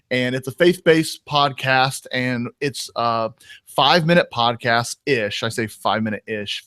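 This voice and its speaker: A man's voice: mid-range voice, fairly bright tone, dry recording. A man, a guest on a podcast roundtable, talking earnestly and rambling, often correcting himself mid-sentence. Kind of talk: casual roundtable chat.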